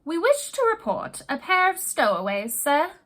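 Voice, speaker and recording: Polite proper butler guy, lady reading aloud doing him, high and even, super formal. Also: moderate pace, exaggerated voice. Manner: formal, courteous. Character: calm, polite male butler.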